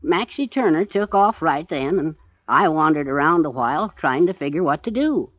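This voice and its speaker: Terse, nervous little American fugitive. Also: male voice, a little high, lively intonation, normal speed, no echo. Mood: weary, uneasy. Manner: terse, blunt, plain-spoken. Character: short, nervous, guilt-ridden man hiding out for years. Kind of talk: 1940s radio drama acting. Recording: dull, dry 1940s radio recording.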